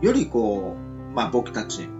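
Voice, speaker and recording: Animated male radio host, rambling and polite. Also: fairly low pitch, unhurried, dull audio, over music. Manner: rambling, polite, hedging speech. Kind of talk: casual talk show hosting.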